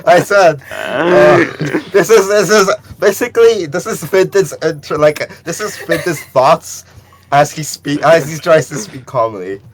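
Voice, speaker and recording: A man's voice: a little high, measured pace, a little hiss or hum. A man chatting casually with friends, often talking through laughter. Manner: giggly, talks through laughter. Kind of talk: casual chat among friends.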